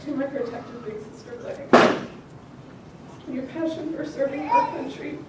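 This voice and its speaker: A woman in her twenties, a graduating student, giving a ceremony speech with light jokes and warm thanks. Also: high voice, animated, moderate pace. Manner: light, joking and tearful at times, frequent laughs. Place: large hall. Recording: echoey hall, far from the mic, audible noise.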